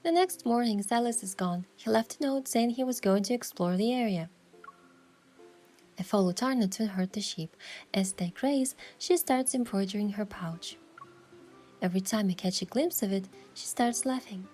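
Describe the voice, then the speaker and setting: A woman's voice: mid-range voice, moderate pace, dark tone, natural voice. A woman playing a thoughtful, affectionate young heroine, narrating a romance game story in the first person with a reflective manner. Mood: gentle, reflective. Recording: music behind the voice.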